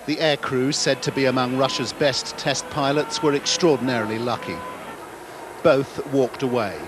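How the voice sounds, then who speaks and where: A man's voice: mid-range voice, fairly even intonation, moderate pace, over music. A British man narrating a scripted television news report as a reporter. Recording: archive TV report, noisy outdoor ambience.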